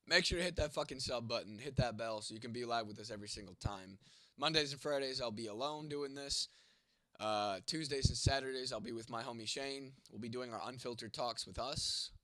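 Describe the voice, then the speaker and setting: A man's voice: mid-range voice, fairly bright tone, dry recording, studio quality. Congested young man streaming casually. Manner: laid-back, swears casually. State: congested, losing his voice, coughing. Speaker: livestream host. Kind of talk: casual livestream chat, reading viewer comments.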